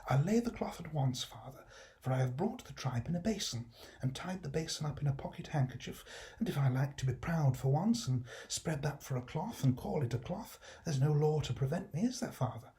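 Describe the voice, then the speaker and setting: A man voicing a gentle, cheerful young working-class woman, fondly teasing and eager, in a storybook reading. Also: a little high, animated, fairly quick, natural voice. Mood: happy, proud. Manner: soft, delighted, eager.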